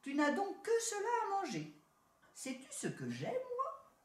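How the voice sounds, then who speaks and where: A woman's voice: extremely high pitch, huge pitch swings, measured pace, voice pushed up, heavily acted. A woman voicing a haughty, boastful male city rat in a storybook tale, talking down to his cousin. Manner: proud, boastful, condescending. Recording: roomy echo.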